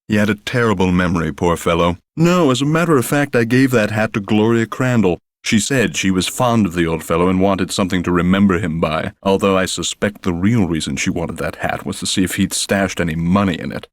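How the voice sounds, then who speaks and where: A man's voice: on the low side, dry recording, lightly acted. A man voicing a pompous male psychic school headmaster in a video game, condescending, with precise, formal wording. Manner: haughty, precise, uses long formal words. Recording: dry studio recording.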